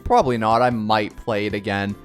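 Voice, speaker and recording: A man's voice: on the low side, a little fast. A man hosting a gaming channel, chatting casually and poking fun at himself while he reads through a developer blog. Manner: self-deprecating, chatty, mock-excited cheers. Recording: faint background music.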